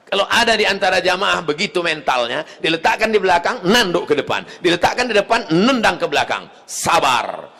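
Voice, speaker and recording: A male preacher giving a mosque sermon, swinging from quiet asides to loud shouted appeals. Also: sits high, very animated, brisk, gravelly, few pauses, long reverb tail.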